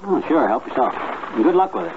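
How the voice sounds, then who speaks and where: A man's voice: mid-range voice, sing-song, fast, natural voice. Male actor as blustering, slangy homespun husband for vintage radio comedy; thin, band-limited sound with some hiss. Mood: jovial. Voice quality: folksy, slangy diction. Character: folksy, blustering, boastful middle-aged husband. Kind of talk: 1950s radio comedy acting. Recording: tinny, noisy old radio recording.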